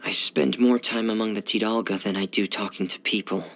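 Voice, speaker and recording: A man playing a shy, gloomy teenage boy diver in video game voice lines, speaking softly and hesitantly in a hushed, breathy voice. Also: lowish voice, steady tone, fairly quick, heavily acted. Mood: quiet, wistful. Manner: hesitant, reserved, subdued.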